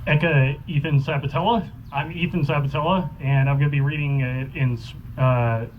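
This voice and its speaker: A man's voice: fairly high pitch, steady tone, moderate pace. A man with an American accent introducing himself informally at a live poetry reading. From northeastern United States. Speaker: poetry reader. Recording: muffled live recording, clear background noise.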